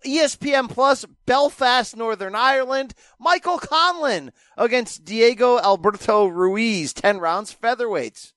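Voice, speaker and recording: High-voiced man rattling off fight cards. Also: expressive, unhurried, hardly any pauses, fairly bright tone, no echo, clean studio sound. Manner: rattles off fight listings with wry asides. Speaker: podcast host. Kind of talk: casual chat.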